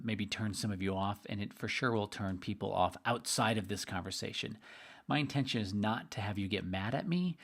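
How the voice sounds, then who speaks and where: A middle-aged man with a slightly hoarse, tired voice, hosting a live webinar in an upbeat, chatty way and talking straight to his listeners. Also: on the low side. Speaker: investment trainer hosting a webinar.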